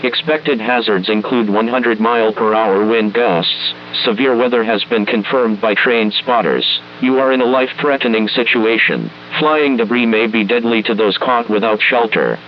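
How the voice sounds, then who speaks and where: Male synthetic announcer for emergency weather alerts: low, impersonal, measured, on muffled radio-like audio with a faint hum. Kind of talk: formal scripted weather warning.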